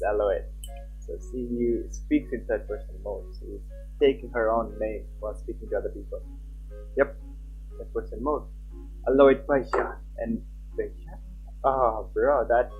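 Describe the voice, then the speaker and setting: A young man rambles casually as he streams a game. His voice is a bit rough and unclear, caught on a slightly muffled mic with music playing behind. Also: medium pitch. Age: young adult. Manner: informal, chatty, rambling. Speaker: live streamer. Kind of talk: casual game stream chat and reading aloud.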